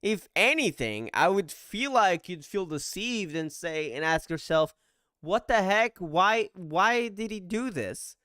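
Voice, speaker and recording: A man's voice: high-pitched, animated, slow pace, pushed and bright, clear, resonant tone, no echo, clean studio sound. A man giving casual reaction commentary as a gaming content creator.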